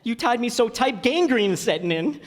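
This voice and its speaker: A man performs a blustering, good-hearted but cowardly father for old-time radio comedy. His folksy, ungrammatical speech swoops widely in pitch. The stage recording rings with the hall. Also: expressive, moderate pace. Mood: grudging. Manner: folksy ungrammatical speech, stammers when scared.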